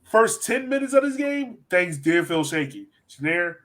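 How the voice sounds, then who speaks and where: A man's voice: high voice, sing-song, moderate pace, fairly bright tone. An American man hosting a sports podcast, talking casually and with enthusiasm as he breaks down soccer. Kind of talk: casual soccer analysis chat.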